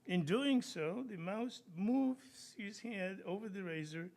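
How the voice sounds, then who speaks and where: An earnest, stubborn inventor, acted out by a man telling a joke makes his pitch. The voice is raised and insistent, explaining everything step by step as part of a live comic tale. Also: lively intonation, slow, creaky, a little mellow, heavily acted. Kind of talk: joke told at a live reading.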